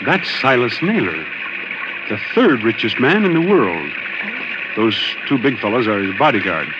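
An actor playing a self-assured, lightly sardonic naval officer who reassures and brushes things off in 1940s radio style. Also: male voice, medium pitch, very animated, normal speed, natural voice. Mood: breezy. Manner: reassuring, casually dismissive. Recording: dull, very noisy old radio recording.

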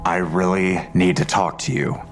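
An actor playing a middle-aged, beaten-down police detective, curt and insistent, in an audio drama. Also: male voice, low-pitched, steady tone, normal speed, faint music behind, lightly acted. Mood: curt, impatient. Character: beaten-down, blunt male police detective, greying. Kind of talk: naturalistic audio drama acting.

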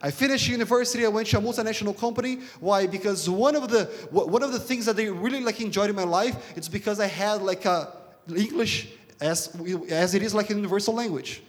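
A man's voice: high voice, quick pace. A man from Brazil with a Brazilian accent and Portuguese as his first language, preaching a sermon in an emphatic, repetitive way with rhetorical questions. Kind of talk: sermon to a congregation. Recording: reverberant hall sound.

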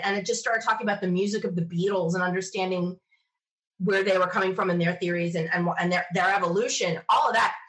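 A woman's voice: mid-range voice, low-quality recording. A woman being interviewed, telling casual personal stories in a chatty way and acting out bits of remembered conversation. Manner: chatty, animated quoting of self and others. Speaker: interview guest.

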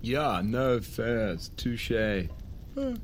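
A man's voice: mid-range voice, huge pitch swings, very slow, exaggerated voice. A jovial drunk boyfriend in an audio drama. He is over-confident and rambles with a tipsy slur. A faint wash of room noise sits behind him. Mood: drunk, rambling.

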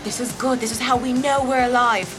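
An actress playing a flamboyant, flirtatious bohemian in an audio drama, teasing and effusive, sprinkling in French phrases. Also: female voice, fairly high pitch, fairly quick, over music, lightly acted. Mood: theatrical, playful.

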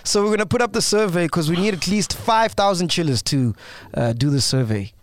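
South African male podcast host, 30s, animated high voice, jokey. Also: fairly quick. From South Africa, Venda. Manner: relaxed, jokey, wraps up segments. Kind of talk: casual chat.

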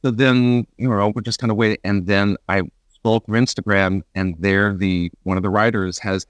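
A man hosts a conversational interview in a fairly low voice. He is agreeable and sympathetic, talking like a peer. The sound is dry and close, without room reflections. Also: fairly even intonation, moderate pace, no room sound. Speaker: interview host and actor.

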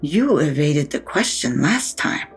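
A woman's voice: low-pitched, sing-song, unhurried, breathy edge, faint music behind, exaggerated voice. A woman playing a blunt, sardonic older mother in an audio drama, with a frank, dry way of talking. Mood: wry, frank. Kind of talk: naturalistic audio drama acting.

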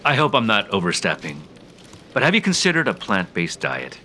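Preachy, condescending male office boss, low bright voice that swings around, audio drama. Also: wildly swooping pitch, moderate pace, a little hiss or hum, exaggerated voice. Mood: faux concern. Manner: condescending, faux-friendly, sloganeering. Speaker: actor.

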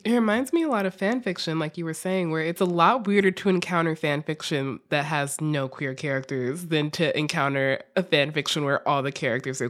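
A woman hosting a podcast in a casual, conversational interview style, informal and a little wry. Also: low voice. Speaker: podcast host.

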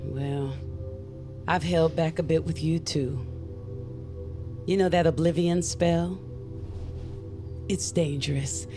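An actress playing a guarded, earnest middle-aged witch on the run from her crime family, confiding in an intimate audio drama with a gravelly voice. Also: low voice, steady tone, takes it slowly, long pauses. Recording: music under the voice. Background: music.

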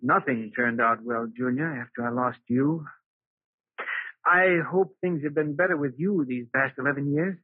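An actor playing a regretful, lovesick husband in an old radio drama, earnest and pleading. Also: male voice, mid-range voice, normal speed, slightly rough, no room sound, lightly acted. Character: lovesick, regretful, unhappily married man recounting his past. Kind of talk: 1940s radio drama acting. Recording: muffled, remastered old radio recording.

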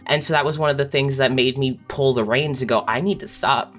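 A woman's voice: rumbling low, fairly quick, faint music behind. A woman speaking candidly and conversationally as a guest writer reflecting on craft. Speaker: mystery writer, podcast guest.

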